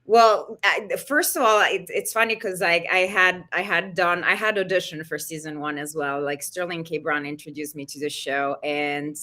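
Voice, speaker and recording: A middle-aged woman talks in a low, forceful, bright voice. She chats loosely, leaning on "you know" and "like" as she thinks aloud. She sounds like she's on a video call. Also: fairly even intonation, normal speed, hardly any pauses. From Italy. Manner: chatty, many "you know" and "like" fillers. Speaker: costume designer guest. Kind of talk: casual interview chat.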